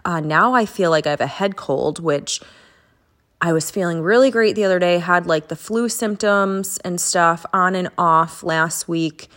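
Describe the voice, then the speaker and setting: A woman's voice: on the low side, unhurried, a bit bright. A young woman hosting a casual chat and prayer podcast, congested from a head cold, talking in a rambling, chatty way with lots of fillers. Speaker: podcast host.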